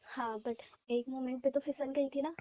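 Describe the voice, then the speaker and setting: High-voiced young woman, giggly on the phone. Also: fairly even intonation, moderate pace, dark tone. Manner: giggly, teasing, coy. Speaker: caller. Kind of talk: casual phone chat. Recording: dull lo-fi phone call recording.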